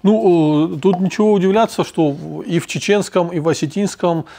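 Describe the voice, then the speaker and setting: A man from North Ossetia hosts a podcast in a slightly high voice. He talks in a thoughtful way, pausing often and filling gaps while he finds the right word. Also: vocal fry, dark tone. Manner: thoughtful, hesitant, frequent pauses and fillers. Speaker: podcast host. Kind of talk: casual conversational podcast.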